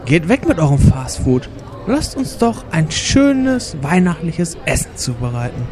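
A man playing a fussy, cosy homebody in an amateur comic audio drama, with drawn-out delivery. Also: a little high, very animated, crowd noise. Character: fussy man fond of cosy Christmas cooking.

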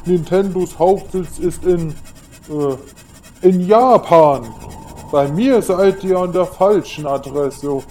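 A man's voice: high-pitched, slow, darkish tone. A man playing a jolly Santa Claus in a comic Christmas audio drama, with hearty ho-ho-hos scattered through the talk. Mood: puzzled. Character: jolly male Santa Claus who chuckles ho-ho. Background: music.

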